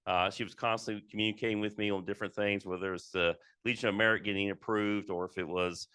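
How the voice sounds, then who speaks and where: A middle-aged man, a retiring military officer, giving an informal ceremony speech in a loud voice, with many fillers and restarts. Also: lowish voice, fairly even intonation, fast, clear, resonant tone, no echo, studio quality. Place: indoor ceremony venue.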